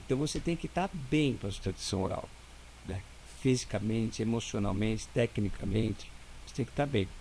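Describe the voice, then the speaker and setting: A man with a Brazilian Portuguese accent, a lawyer who is the guest, explaining in a relaxed, didactic way and checking in with "né?" often. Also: on the low side, moderate pace, a little hiss or hum, poor audio. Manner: didactic, explains step by step with many "né?". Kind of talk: casual interview talk.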